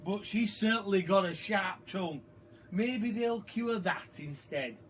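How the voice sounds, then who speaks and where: A man voicing a mischievous, excitable young boy in an emphatic, relishing storybook style. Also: high voice, a little slow, slight background noise, voice pushed up. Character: excitable, mischievous young boy George, plotting against grandma.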